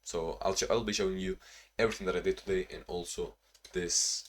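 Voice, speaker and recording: Low-voiced young man, rambling vlog talk. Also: steady tone, a little fast. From Spain and Ukraine. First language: Spanish or Ukrainian. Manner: rambling, self-reflective, frequent restarts. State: tired from little sleep. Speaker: vlogger. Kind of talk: casual vlog talking to camera.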